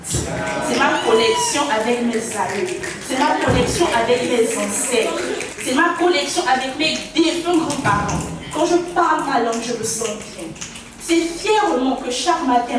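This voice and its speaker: Earnest woman giving a speech, echoey hall. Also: fairly high pitch. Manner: earnest, proud, emphatic. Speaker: speech contest participant. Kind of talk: declamatory speech. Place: museum hall. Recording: echoey hall, distant mic, background noise.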